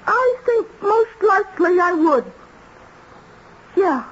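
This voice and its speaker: A defiant American wife, sardonic and challenging, in old radio drama style. Her high voice carries pointed sarcasm through a muffled recording with a faint layer of noise. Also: expressive, slow, exaggerated voice. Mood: hurt, defiant. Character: defiant, sarcastic, hurt wife with firm morals. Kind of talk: vintage radio drama acting.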